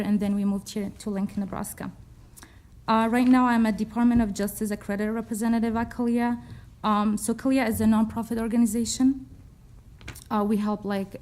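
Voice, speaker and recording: A young woman speaks into a podium microphone in a council chamber. Her voice is mellow and rounded. She delivers a prepared statement earnestly, with small hesitations. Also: medium pitch. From Kurdistan region of northern Iraq. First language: Kurdish. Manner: earnest, slightly hesitant with fillers. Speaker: commission applicant.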